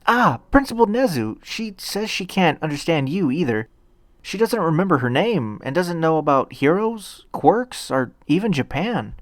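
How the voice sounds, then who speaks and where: A man's voice: a little high, sing-song, moderate pace, no echo, studio quality, pitched above the performer's own voice. A man voicing an earnest, polite, stammering teenage boy hero in training in a narrated story. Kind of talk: acted audiobook dialogue.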